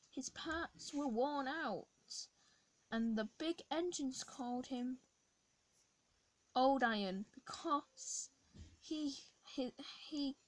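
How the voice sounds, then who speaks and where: Hoarse woman reading a picture book. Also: high-pitched, low-quality recording. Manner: halting, with many restarts. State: hoarse from a sore throat. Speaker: children's channel host. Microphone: phone camera over the book.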